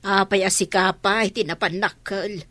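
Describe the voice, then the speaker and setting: A woman's voice: mid-range voice, fairly even intonation, normal speed, a bit bright, dry recording, natural voice. A middle-aged woman playing a nagging, scolding mother of a grown daughter in a radio drama. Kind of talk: Ilocano radio drama acting.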